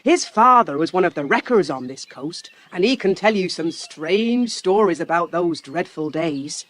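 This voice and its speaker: A woman's voice: on the low side, animated, moderate pace. A woman playing a kindly farmer's wife who tells old tales in a folksy way in an audio drama. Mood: matter-of-fact, pitying.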